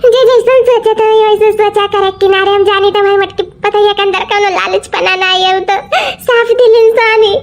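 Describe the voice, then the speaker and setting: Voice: extremely high pitch, fairly even intonation, normal speed. A performer voicing a tearful young married woman from an Awadhi cartoon comedy who misses her brother, whining and complaining at length. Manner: rambling, self-pitying complaints.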